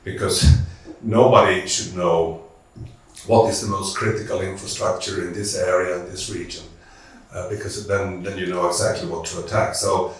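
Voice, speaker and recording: A man speaking on a conference panel, thoughtful and halting, with restarts as he works through his points. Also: sits low, fairly even intonation. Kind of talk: panel discussion. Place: conference hall. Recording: echoey hall, distant mic.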